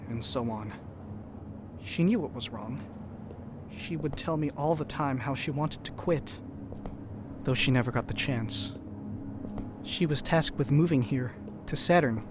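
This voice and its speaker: An actor playing an earnest, compassionate doctor in a science-fiction audio drama. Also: male voice, mid-range voice, natural voice. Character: earnest grieving male doctor, cautious and compassionate sci-fi traveller.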